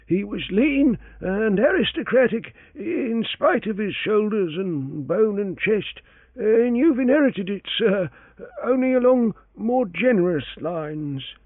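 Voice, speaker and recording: A man's voice: high voice, animated, slow pace, no echo, voice pushed up, full character voice. A man voicing an elderly male valet who is humble and deferential, stammering and eager to please. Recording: thin phone-like sound, dry.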